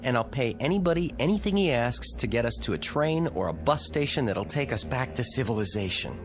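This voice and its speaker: Man acting cynical American husband, very animated delivery. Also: mid-range voice, brisk, music in the background, close to an everyday voice. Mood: wry, determined. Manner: sardonic, gruff, dismissive. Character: cynical, irritable husband stranded in a strange town. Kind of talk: mid-century American television drama acting.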